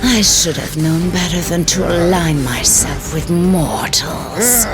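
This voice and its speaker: An actress playing a cold, scornful goddess villainess in a video game, with a haughty, menacing, theatrical manner. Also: female voice, fairly low pitch, animated, slow. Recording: loud music over the voice.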